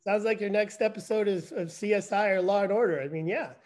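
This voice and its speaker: A man in his fifties with a notably high speaking voice, chatting on a video call. He talks loosely and self-deprecatingly as a guest explaining his evaluation work. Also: fairly quick, a little mellow. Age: 50s. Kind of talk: casual conversation.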